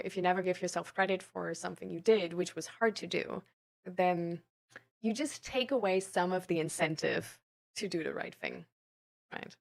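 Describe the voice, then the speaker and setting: Young woman, mid-pitched voice, creaky fry, casual livestream chat, rambling, explanatory. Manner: rambling, informal, explanatory, frequent "like" and "right?". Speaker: live streamer talking with chat viewers. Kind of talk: casual livestream chat about mental health and self-improvement.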